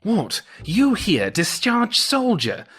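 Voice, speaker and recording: Male reader putting on a rowdy folktale demon gambler, taunting, pitched up. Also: wildly swooping pitch, slow, a bit bright, slightly rough, heavily acted.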